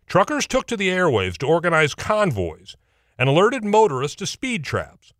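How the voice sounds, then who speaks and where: A man's voice: on the high side, a bit bright, no room sound, clean studio sound. An American man hosting a history program, delivering a scripted essay in a folksy, wry, emphatic way. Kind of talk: scripted radio essay narration.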